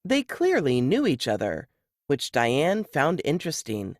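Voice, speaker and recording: A woman's voice: low voice, wildly swooping pitch, unhurried, a little mellow, no echo, clean studio sound. A woman reading a novel aloud as scripted third-person narration. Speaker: novelist reading their work.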